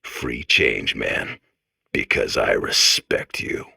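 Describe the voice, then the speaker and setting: A man's voice: very deep voice, expressive, slow, creaky, bright, forceful tone, slightly rough, no echo, clean studio sound, full character voice. A man playing an overeager, chummy robot core in a comedic video game, rambling earnestly with backhanded condescension. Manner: rambling, casual, insistently earnest.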